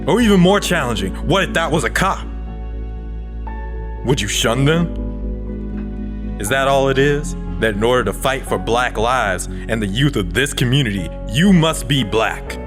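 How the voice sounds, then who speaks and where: An actor playing an earnest, principled activist in an audio drama, speaking in a measured, rhetorical way. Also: male voice, medium pitch, animated, music in the background, natural voice. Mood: impassioned. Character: earnest, principled young male activist pleading for unity.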